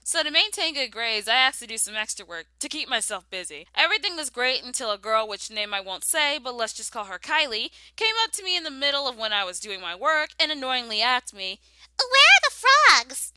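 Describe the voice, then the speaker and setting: A woman's voice: on the high side, lively intonation, brisk, hardly any pauses, sharp tone, clear, resonant tone, no room sound. A young American woman narrating a scripted personal story with emphatic, dramatic delivery. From southern United States.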